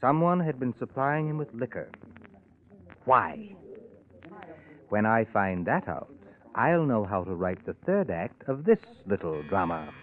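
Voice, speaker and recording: Probing accuser, thin old radio sound. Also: male voice, lowish voice, sing-song, a little slow, long pauses. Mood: suspicious. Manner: probing, pointed, dramatic. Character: determined, accusing male amateur sleuth and writer. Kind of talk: old-time radio drama acting. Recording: band-limited, tinny vintage radio recording.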